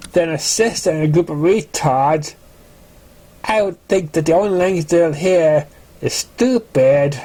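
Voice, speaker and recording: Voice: medium pitch, darkish tone, pitched above the performer's own voice. A narrator voicing a snooty young rich pony bully, mocking and taunting in a storybook reading.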